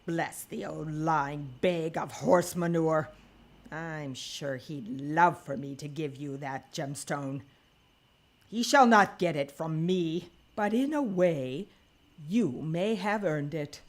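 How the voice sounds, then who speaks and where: A woman playing a weary, sardonic old woman in an audio drama, with a deliberate, dry and scornful manner. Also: low-pitched. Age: older.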